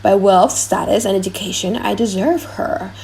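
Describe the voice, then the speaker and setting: A woman's voice: medium pitch, fairly even intonation, moderate pace, darkish tone, natural voice, low-quality recording. A woman playing a proud, grandiose lovestruck prince. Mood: proud, deliberating. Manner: grandiose, self-deliberating. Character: proud, lovestruck foreign prince wooing an heiress. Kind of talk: dramatic audiobook play reading.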